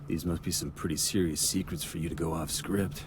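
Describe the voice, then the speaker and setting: A guarded American special forces leader played by a man in a game cutscene. The voice sits very deep and comes in terse, commanding phrases over clearly noisy ambience. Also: steady tone, a little fast, close to an everyday voice. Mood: wary, probing.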